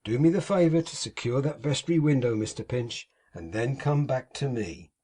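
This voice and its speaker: A man's voice: medium pitch, normal speed, dark tone, slightly rough, lightly acted. Man putting on a pompous sanctimonious hypocrite. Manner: pompous, sanctimonious, grandly theatrical. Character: pompous hypocritical middle-aged male architect.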